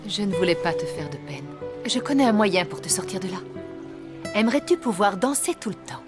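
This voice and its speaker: An actress playing an exacting but kindly ballet teacher in a cartoon, speaking in a formal, precise, theatrical way. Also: female voice, medium pitch, big pitch swings, fairly quick, over music, lightly acted. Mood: gentle, encouraging. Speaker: voice actor. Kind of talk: French animated series dubbing.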